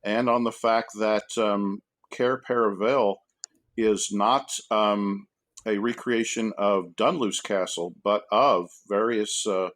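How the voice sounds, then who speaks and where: A man speaking as a professor guest on a podcast, in a measured, halting, scholarly and conversational way. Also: lowish voice, fairly even intonation, a bit bright. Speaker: academic guest, university professor. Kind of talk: casual podcast interview.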